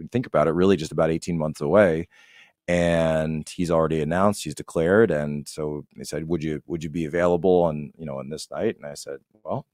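A man's voice: sits low, a little mellow, no room sound, clean studio sound. A man giving conversational political commentary as a podcast guest, emphatic and repeating phrases to drive points home.

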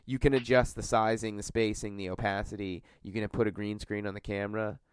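Congested man with a smooth low voice. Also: little pitch movement, brisk, dry recording, clean studio sound. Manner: rambling, filler-heavy storytelling. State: congested from a cold. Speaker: podcast host. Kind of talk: casual podcast chat.

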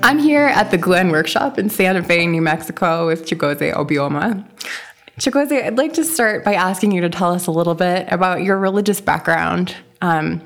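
A woman interviewing a writer, asking calm, attentive questions. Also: on the low side.

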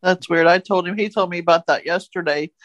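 A woman's voice: fairly low pitch, fairly quick, no echo, dull audio. A woman co-hosting a podcast in casual, joking conversation. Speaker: podcast co-host.

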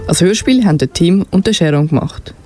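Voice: medium pitch, animated, fairly quick, warm tone. A male presenter making a radio announcement with guitar music behind him.

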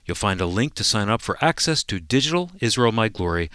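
A man's voice: lowish voice, sing-song, brisk, bright, forceful tone, no echo, studio quality. A man reading a scripted promotional announcement as a radio co-host.

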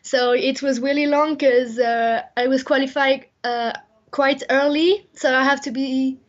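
High-voiced young French woman on a phone line. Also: fairly even intonation. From France. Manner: halting, searching for words, many uh fillers. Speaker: interviewed athlete.

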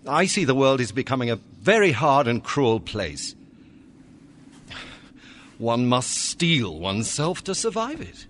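Very expressive young clerk. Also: male voice, medium pitch, unhurried, long pauses, a little hiss or hum. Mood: polite, earnest. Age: young adult. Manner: earnest, polite, dramatic. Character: earnest, emotional young clerk. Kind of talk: family radio drama acting.

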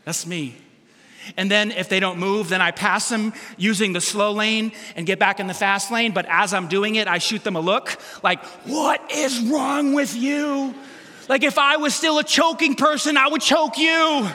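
Bright-voiced man preaching in an echoing hall. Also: sits high, moderate pace. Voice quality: loud voice. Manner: animated, self-deprecating storytelling with sudden loud bursts. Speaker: pastor. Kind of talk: sermon. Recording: long hall reverb.

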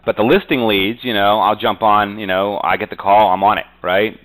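Low-voiced man, blunt interviewee. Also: fairly even intonation, normal speed, a bit bright, rough recording. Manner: frequently punctuates talk with "you know". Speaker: real estate agent being interviewed. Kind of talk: conversational business interview.

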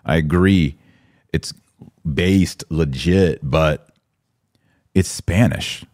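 A man's voice: very deep voice, drawn-out words, a little mellow, no echo, studio quality. An American man hosting a live podcast, chatting casually and informally as he responds to listener comments. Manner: informal slangy talk. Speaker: podcast host.

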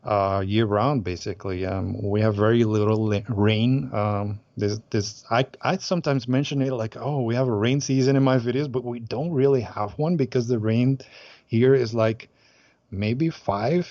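A man's voice: mid-range voice, moderate pace, dark tone, no room sound, dull audio. A man from Aruba who organizes group trips, chatting in an interview with many hesitant fillers and restarts. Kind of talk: casual interview chat.

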